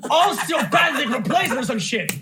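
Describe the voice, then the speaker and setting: High-pitched, excitable man blurting fast. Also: background noise. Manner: excitable, profane, stammering. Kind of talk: livestream debate.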